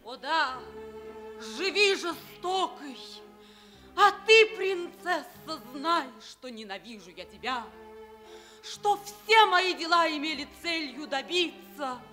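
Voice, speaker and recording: A proud, bitter captive princess declaims her jealousy in a high, piercingly bright voice. The delivery is impassioned and drawn out, as in theatrical radio drama, with some music and crowd behind. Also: expressive, takes it slowly, full character voice. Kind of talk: theatrical radio stage acting.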